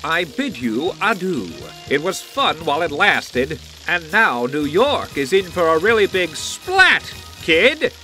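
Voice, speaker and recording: A boastful insect supervillain played by a man from a superhero radio play. He taunts theatrically in rhyme, with a high voice that swings widely in pitch. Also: big pitch swings, takes it slowly, few pauses, sharp tone, faint music behind, full character voice. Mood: gloating, menacing. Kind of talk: superhero audio drama villain acting.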